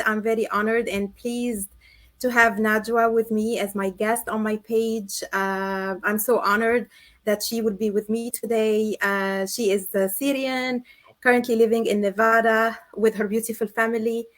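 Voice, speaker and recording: A woman with a fairly high voice hosts a casual online interview. She speaks in an encouraging way, with lots of small fillers as she frames her questions. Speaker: host.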